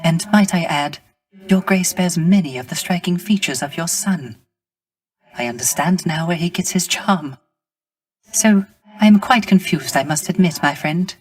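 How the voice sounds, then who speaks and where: A man playing a gentle, melancholy male musician of the underworld in a video game, speaking formally and courteously with wistful, poetic, old-fashioned phrasing. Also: a little high, measured pace, close to an everyday voice. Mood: courteous, reserved. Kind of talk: scripted video game character acting.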